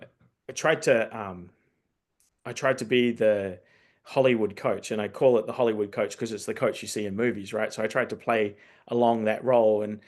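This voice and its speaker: A man's voice: on the low side, steady tone, normal speed. A man who grew up in New Zealand, hosting a casual interview and thinking aloud as he asks long, circling questions. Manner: rambling, thinks aloud, circles back to rephrase. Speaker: host, coach developer.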